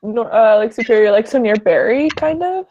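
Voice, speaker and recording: A woman's voice: on the high side, expressive, normal speed, darkish tone, a little hiss or hum. A young woman from Canada chatting casually in a group, blunt and teasing, swearing now and then. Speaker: chat participant. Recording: dull lo-fi recording.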